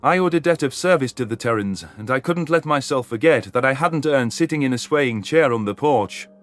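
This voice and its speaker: A man's voice: medium pitch, lively intonation, brisk, soft background music. Man narrating a sci-fi story. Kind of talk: scripted first-person story narration.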